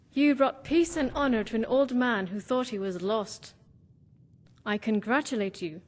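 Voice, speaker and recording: A woman's voice: fairly high pitch, lightly acted. A woman voicing a loyal warrior companion in a fantasy game, earnest and formal with a dry, teasing streak. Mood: earnest, honoring. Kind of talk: fantasy game character dialogue.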